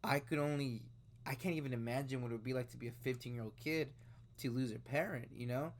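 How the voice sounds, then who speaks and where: A man in his late twenties reacts to a news story on his own channel, speaking at an ordinary middle pitch. His talk is casual and rambling, with plenty of fillers and false starts. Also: steady tone, fast, a little hiss or hum. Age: 20s. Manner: rambling, conversational, with frequent fillers and restarts. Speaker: video host reacting to news. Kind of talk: casual commentary.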